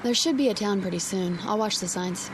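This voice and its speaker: An actress playing a sharp, questioning and playful young newlywed wife in a radio drama. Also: female voice, medium pitch, brisk, natural voice. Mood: dry, teasing. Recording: steady car road noise behind.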